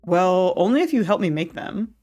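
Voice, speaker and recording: A woman's voice: fairly low pitch, sing-song, moderate pace, a little mellow, faint music behind. A woman reading the lines of an upbeat, corny suburban dad in a game, in a cheerful, dad-joke delivery.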